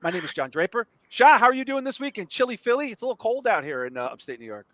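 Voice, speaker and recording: Man, podcast host, higher pitch, dry close sound, slightly dull audio, crude joking. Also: very animated, fast talker, fairly bright tone, no echo. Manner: crude joking, enthusiastic show plugs. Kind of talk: casual comedic podcast chat. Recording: muffled, slightly lo-fi stream audio.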